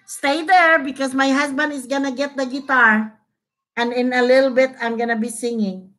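A woman's voice: high voice, steady tone, normal speed, fairly bright tone, rough recording. A middle-aged woman with a Filipino accent, hosting a live stream from her home kitchen and chatting casually with viewers. She laughs often and mixes English with Cebuano, talking into a laptop mic. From Philippines. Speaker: host of a live cooking stream. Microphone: laptop webcam mic at arm's length. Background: guitar playing.

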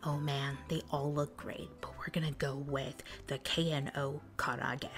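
A woman narrating a food review in a soft, breathy whisper, calm and descriptive. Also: low-pitched, unhurried, loud music behind the voice. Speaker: food vlogger.

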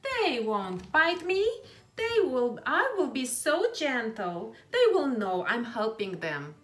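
A woman voicing a confident, imaginative, defiant little girl in a storybook, with emphatic, drawn-out words. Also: on the high side, big pitch swings, a bit bright, voice pushed up, heavily acted. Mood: defiant, proud. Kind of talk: children's picture book read-aloud. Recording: roomy echo with music behind.

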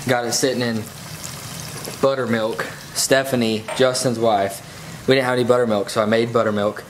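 A man's voice: medium pitch, flat delivery, normal speed, slight background noise, poor audio. A young man in his twenties narrating a casual vlog into an action camera held at arm's length, easygoing as he plugs links. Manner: laid-back, plugs links and merch. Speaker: vlogger host. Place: outdoors on a boat, then a home kitchen.

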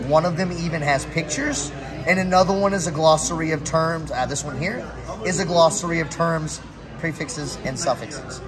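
A middle-aged man with a fairly high voice explains a game in a casual pitch. He is recorded across a table in a busy indoor space, with music clearly audible. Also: normal speed. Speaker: card game creator giving a demo. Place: busy indoor convention hall.

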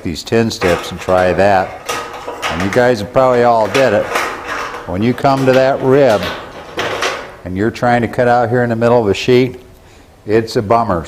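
Middle-aged American male how-to presenter, lowish voice, plain-spoken and folksy, casual demo delivery on a lapel mic. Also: a little mellow. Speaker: presenter of how-to videos for a store. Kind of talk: casual tool demonstration to camera. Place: plain indoor workshop room.

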